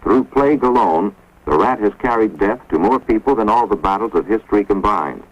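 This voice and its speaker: A man giving measured, grave scripted narration for an instructional film, recorded on a dull, lo-fi 1950s film soundtrack. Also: lowish voice, lively intonation, dry recording. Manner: measured, grave, authoritative delivery.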